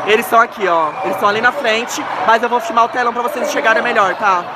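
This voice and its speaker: A man with a Brazilian accent, filming a concert on his phone and excitedly telling his viewers what is happening, often shouting and laughing. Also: very high voice, racing, very noisy. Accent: Brazilian Portuguese. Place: huge outdoor stadium, in the crowd. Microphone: handheld phone. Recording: phone in a loud stadium crowd.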